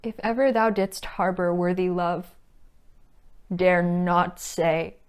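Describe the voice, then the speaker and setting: Woman acting slow, anguished, proud noblewoman. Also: fairly low pitch, steady tone, smooth. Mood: scornful anger. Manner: formal, archaic, measured stage delivery. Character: heartbroken noblewoman, anguished, proud, renouncing her lover. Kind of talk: classical stage monologue.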